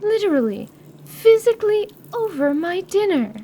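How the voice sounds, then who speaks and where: A woman as a high-voiced, prim young noblewoman. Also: very animated, normal speed, warm tone, a little hiss or hum, full character voice. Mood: indignant. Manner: formal, genteel, fussy wording. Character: Anise, prim, melancholy, doting young noblewoman adventurer. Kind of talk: radio-theatre fantasy role-play acting.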